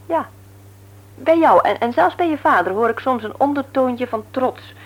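High-voiced, no-nonsense radio wife. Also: female voice, expressive. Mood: firm, critical. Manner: brisk, mocking, matter-of-fact. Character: brisk, teasing, no-nonsense nurse wife. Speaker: radio play actress. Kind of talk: Dutch radio play acting. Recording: noisy old radio recording with hum.

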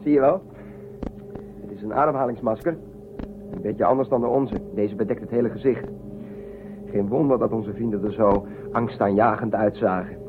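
Actor as a low-voiced, pragmatic spaceman. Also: moderate pace, long pauses, narrow, tinny audio, lightly acted. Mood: matter-of-fact. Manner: matter-of-fact, short statements. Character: pragmatic, stoic male space castaway, reasoning aloud. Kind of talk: radio play acting. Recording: phone-thin radio drama audio, clear noise.

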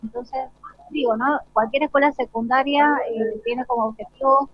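Argentine woman, school director interviewee. Fairly high voice, earnest explanatory delivery, muffled radio audio. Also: steady tone, normal speed. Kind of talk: spontaneous interview answers. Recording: dull lo-fi live radio recording.

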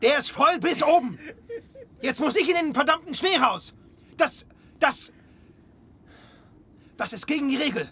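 An actor playing a bitter, hot-tempered polar sailor in a radio play, with a raspy, strained voice and a sarcastic, agitated, ranting manner. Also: male voice, very high voice, lively intonation, unhurried, long pauses, bright, forceful tone, full character voice. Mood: outraged, cursing.

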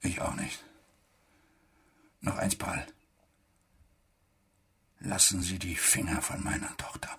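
An actor playing a stern, threatening middle-aged army major in a German radio play, with a biting, insulting manner. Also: male voice, deep voice, level tone, slow, gravelly, pauses often, sharp tone, breathy edge, exaggerated voice. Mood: menacing.

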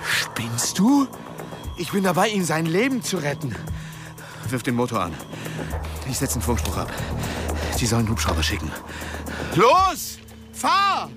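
Casting: urgent, commanding woman, low-pitched with big swings, frantic radio drama rescuer over music. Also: huge pitch swings, a little slow, pauses often, full character voice. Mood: angry, urgent. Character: frantic woman accusing another while rescuing a drowning man. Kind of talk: German radio drama acting.

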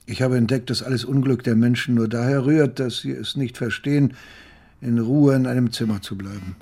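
An actor playing a brooding, bookish man with a husky, rough voice in a radio crime drama. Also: mid-range voice, steady tone, fairly quick, warm tone, lightly acted. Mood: pensive.

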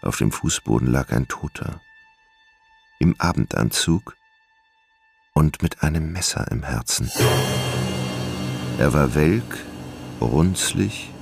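A man narrating a dark gothic tale as the storyteller of a literary radio drama, grave and measured. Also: extremely low pitch, creaky, heavily acted. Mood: grim, dramatic.

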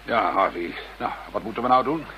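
Man acting a sober worried police investigator, lowish voice, radio drama, faint hiss. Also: expressive, a little fast, lo-fi sound, natural voice. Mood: grim. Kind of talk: Dutch radio play acting. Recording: hissy radio play recording.

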